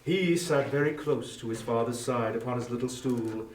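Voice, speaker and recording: A man's voice: mid-range voice, moderate pace, darkish tone, a little hiss or hum. A man narrating a story as a scripted dramatic reading, recorded at some distance from the microphone. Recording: roomy echo, distant from microphone.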